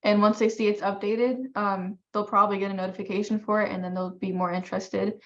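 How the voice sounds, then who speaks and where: A woman's voice: mid-range voice, flat delivery, a little fast, a bit bright. Polite young woman on muffled call. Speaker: volunteer committee member. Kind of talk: informal meeting discussion. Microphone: teleconference call. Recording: dull, lo-fi conference call audio.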